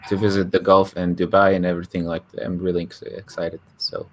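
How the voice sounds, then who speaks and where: A man with an American accent co-hosting a podcast, relaxed and easygoing. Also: low-pitched, brisk, a little hiss or hum. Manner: relaxed, uses fillers like "like", laughs easily. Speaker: podcast co-host. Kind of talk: casual chat.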